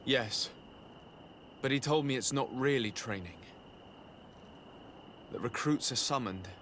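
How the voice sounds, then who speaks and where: A man playing a calm, diplomatic adventurer in a video game cutscene, with a measured, polite, earnest delivery. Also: mid-range voice, a little hiss or hum. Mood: grave. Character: calm, diplomatic male adventurer investigating abuses.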